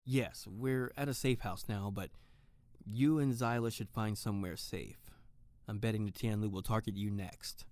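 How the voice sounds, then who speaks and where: An actor playing an earnest private detective, narrating and talking in a dry, deliberate noir style for audio drama. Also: male voice, lowish voice, fairly even intonation, a little mellow, dry recording, close to an everyday voice. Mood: dry, serious.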